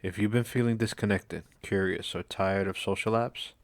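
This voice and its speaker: A man hosting a podcast, giving a measured, scripted introduction and then asking questions. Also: lowish voice, steady tone, no echo. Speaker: podcast host.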